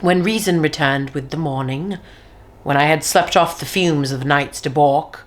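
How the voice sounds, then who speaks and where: A woman reading a classic horror tale aloud in slow, deliberate dramatic narration, sometimes dropping to a near whisper. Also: low-pitched. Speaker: reader of a classic horror story.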